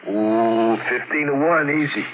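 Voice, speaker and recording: A man's voice: mid-range voice, a little slow, close to an everyday voice. An actor playing a dim but loyal gangster's sidekick in an old-time radio drama, hesitant and simple in his talk. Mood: wary. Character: dim, loyal male sidekick, numbskull with numbers knack. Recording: muffled, noisy old radio recording.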